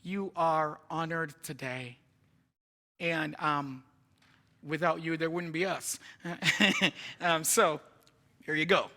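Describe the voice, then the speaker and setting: A man's voice: fairly high pitch, steady tone, slow, pauses often, bright, forceful tone. A man from Jamaica with a Jamaican accent, preaching as a guest in a church in a chatty, rambling, self-joking way while moving around. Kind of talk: informal sermon. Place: church sanctuary.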